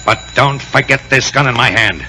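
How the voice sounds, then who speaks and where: An actor playing a blustering gunman henchman in a 1940s radio serial, with a gravelly, sharp voice. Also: medium pitch, normal speed. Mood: menacing. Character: menacing male gunman henchman, blustering and easily panicked. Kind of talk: 1940s radio villain acting. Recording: noisy vintage 1940s radio recording.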